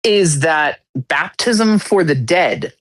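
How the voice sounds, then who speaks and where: A podcast co-host explaining a view in a measured, agreeable way during a casual theology chat. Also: mid-range voice, animated, fairly bright tone. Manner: measured and agreeable, gives short assents.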